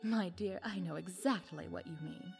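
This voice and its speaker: A woman's voice: fairly low pitch, moderate pace, creaky. A woman playing a sly, worldly society widow in a visual novel, with arch and knowing phrasing. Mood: probing, polite. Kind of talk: voiced visual novel dialogue. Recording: music behind the voice. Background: game music.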